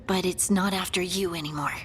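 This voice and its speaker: A woman's voice: on the low side, fairly even intonation, brisk, a bit bright, slight background noise, close to an everyday voice. A woman playing an earnest, determined young dwarf scout in a video game, speaking plainly. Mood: focused. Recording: music under the voice. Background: game music.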